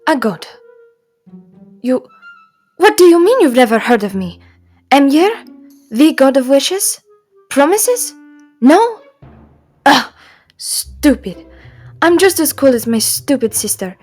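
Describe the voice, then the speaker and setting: A woman's voice: high-pitched, very animated, takes it slowly, long pauses, darkish tone, faint music behind, voice pushed up, full character voice. A woman voicing a sassy, spiteful and insecure male god of wishes in a fantasy audio roleplay, theatrical, indignant and whiny.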